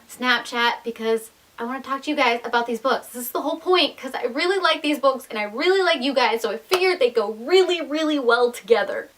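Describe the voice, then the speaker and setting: A woman's voice: fairly high pitch, fairly bright tone. A young adult woman chatting casually to viewers as a book vlogger, enthusiastic and emphatic, with self-deprecating asides.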